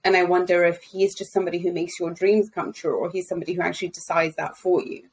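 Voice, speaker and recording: A woman's voice: on the low side, little pitch movement, fast talker, slightly rough. A woman in her thirties co-hosting a podcast over a video call, musing aloud and asking open questions. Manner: musing, asks open questions, laughs easily. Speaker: podcast co-host. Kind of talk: casual podcast discussion. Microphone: earphone mic on video call.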